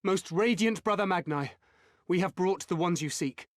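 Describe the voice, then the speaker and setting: Guy voicing loyal tribe warrior in a game, pretty high voice, reverent to his boss. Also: normal speed, dry recording, studio quality, lightly acted. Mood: reverent, dutiful. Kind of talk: video game cutscene acting.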